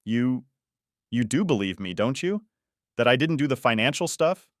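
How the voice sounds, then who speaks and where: A man's voice: medium pitch, animated, normal speed, no echo, clean studio sound, voice pushed up, lightly acted. A man voicing a distraught, anxious wife who pleads in agitation in an audiobook drama. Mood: pleading.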